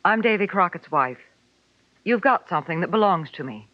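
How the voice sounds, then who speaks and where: A woman's voice: lowish voice, lively intonation, moderate pace, no echo, lightly acted. An actress playing a persistent, evasive blonde woman in a 1940s radio detective drama, formal and insistent. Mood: indignant. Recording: dull, dry 1940s radio recording.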